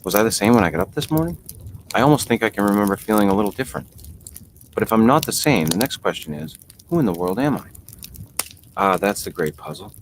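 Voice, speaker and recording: A curious, easily puzzled little storybook girl muses aloud to herself, played by a man in his own low register. She fusses and scolds herself, with clear noise behind the voice. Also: animated, fast talker, long pauses, natural voice. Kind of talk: storybook character reading. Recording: noisy home podcast recording.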